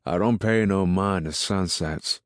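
A man voicing a gruff, ill-tempered rural farmer in an audiobook, grumbling in folksy, ungrammatical country speech. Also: deep voice, unhurried, no echo, studio quality. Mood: nosy, gruff. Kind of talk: audiobook character dialogue.

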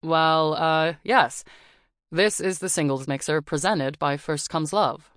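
Woman voicing a smooth-toned young doorman. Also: low voice, takes it slowly, a bit bright, no room sound, clean studio sound. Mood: friendly.

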